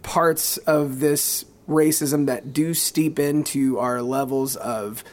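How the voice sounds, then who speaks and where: A man co-hosting a casual podcast, speaking earnestly and haltingly, repeating words as he searches for them. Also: medium pitch, fairly even intonation, darkish tone. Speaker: podcast co-host. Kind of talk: casual conversation.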